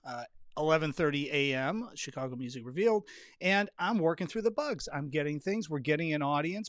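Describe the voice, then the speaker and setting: A man's voice: fairly high pitch, dry recording, clean studio sound. A man hosting a casual interview chat, talking freely and repeating phrases for emphasis. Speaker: podcast host.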